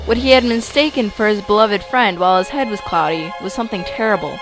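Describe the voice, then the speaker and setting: A woman's voice: medium pitch, quick pace, over loud music, poor audio. A woman reading scripted, dramatic story narration for an amateur audio drama.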